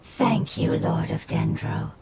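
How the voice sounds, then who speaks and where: A woman voicing a solemn, gentle, weary nature spirit in a video game, with a breathy, whispery delivery. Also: low voice, takes it slowly, heavy background noise, full character voice. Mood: grateful. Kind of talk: scripted video game voice acting.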